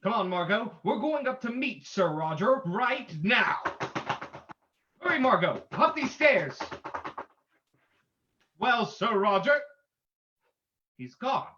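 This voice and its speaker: A man playing a bold, confident gentleman detective in an old-time radio drama, theatrical and commanding. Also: high voice, very animated, slow, long pauses, highs cut off, background noise, full character voice. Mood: urgent.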